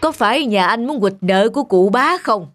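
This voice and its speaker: A middle-aged magistrate in a cartoon folk tale. He is calm, fair and authoritative, weighing his words in a measured way. Music sits behind the voice. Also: high-pitched. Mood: measured, judicial. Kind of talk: cartoon fairy-tale dubbing.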